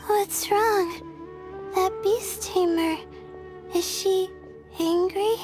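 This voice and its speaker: A woman voicing a timid, sleepy young female student in an anime video game, with a soft, weary, hesitant delivery. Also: very high voice, steady tone, over music, heavily acted. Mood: embarrassed, tired. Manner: hesitant, halting, self-deprecating.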